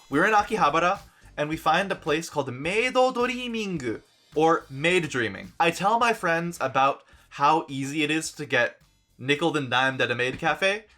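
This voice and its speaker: A young man tells a travel story in a lively, joking way, slipping in dry asides. His voice is bright and a touch high. Soft music plays under him. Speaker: vlogger. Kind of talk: casual storytelling vlog.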